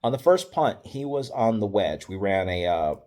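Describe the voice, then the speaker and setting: Middle-aged man, lowish voice, football coach, casual storytelling, wry and matter-of-fact, fillers and restarts. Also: sing-song, moderate pace, darkish tone.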